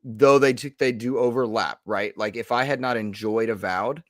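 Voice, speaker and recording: A man co-hosting a gaming podcast in a casual, animated chat with emphatic asides. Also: medium pitch, normal speed, no room sound, clean studio sound. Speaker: podcast co-host.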